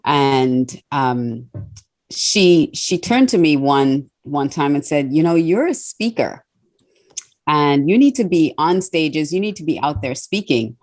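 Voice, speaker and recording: A woman's voice: deep voice, expressive, slow pace, a little mellow. A middle-aged woman from Trinidad and Australia, a confidence coach answering interview questions thoughtfully. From born in Trinidad, raised in Australia. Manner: reflective, thoughtful with many fillers.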